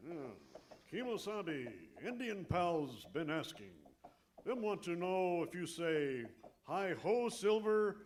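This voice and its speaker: An actor playing a loyal, reluctant cowboy sidekick who speaks halting broken English, deadpan, in a comic radio western. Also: male voice, sits high. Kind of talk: comic old-time radio western acting. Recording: faintly noisy live stage recording.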